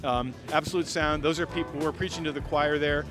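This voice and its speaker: A man with a fairly high voice presents products without a script. He sounds enthusiastic and salesy, like a company rep on a trade show stand, and music plays clearly behind him. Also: fairly even intonation, a little fast.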